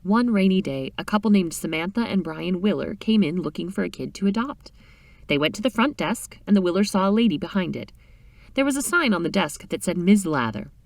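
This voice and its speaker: A woman reading a short story aloud in an expressive, dramatic storytelling style. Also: on the low side, a little fast, gravelly, no room sound, recorded from a distance. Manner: animated, dramatic reading with character voices.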